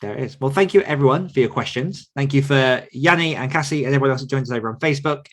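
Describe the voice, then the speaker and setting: A man's voice: medium pitch, fast talker. A man hosting a podcast, answering questions casually and thoughtfully, feeling his way through sentences and correcting himself. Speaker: podcast host and author coach answering questions. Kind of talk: casual, reflective Q&A talk.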